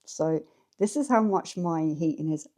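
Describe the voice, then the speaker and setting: A woman with an English accent chats to her viewers from her living room. Her voice sits low and sounds mellow and relaxed. She is frank and talks to camera as if to a friend over a cuppa. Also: a little slow. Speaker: vlogger. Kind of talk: casual chat to camera. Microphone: camera set up in the room.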